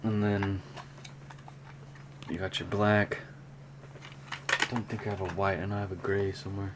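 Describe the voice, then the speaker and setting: A man talking casually and unscripted in a hobby show-and-tell vlog, with a rambling, halting delivery. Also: sits low, little pitch movement. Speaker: hobby vlogger, cassette collector. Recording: clear background noise.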